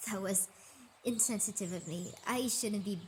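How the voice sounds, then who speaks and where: A woman's voice: mid-range voice, moderate pace, slight background noise, lightly acted. A woman voicing a sickly, motherly Earth goddess in an intimate roleplay monologue, hesitant and emotional, dipping toward whispers. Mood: hesitant regret. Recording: nature ambience with birdsong behind voice.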